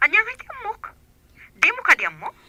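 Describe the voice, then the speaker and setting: Sharp, bossy boss lady on phone. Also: extremely high pitch, wildly swooping pitch, fairly quick, full character voice. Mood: suspicious, scolding. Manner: interrogating, impatient. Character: suspicious, bossy female employer checking on her maid. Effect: telephone filter. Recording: sharp thin telephone-call sound.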